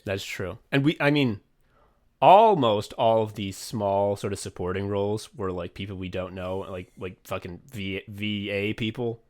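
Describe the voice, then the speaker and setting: A man co-hosting a podcast and casually looking back on writing and directing an audio drama, rambling, swearing often and leaning on "like" with quick bursts of excitement. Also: fairly low pitch.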